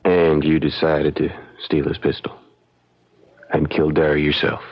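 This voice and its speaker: An actor playing a humble, earnest young country lawyer with a gravelly voice in an old-time radio drama. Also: male voice, extremely low pitch, completely flat delivery, a little slow. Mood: grave, probing. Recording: dull radio recording.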